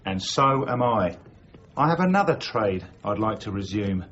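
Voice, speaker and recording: Roguish, animated male counterfeiter. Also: lowish voice, moderate pace. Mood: persuasive, eager. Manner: boastful, persuasive. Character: roguish, silver-tongued male counterfeiter and quack doctor. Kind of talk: radio drama acting. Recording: dull lo-fi radio recording, faint noise.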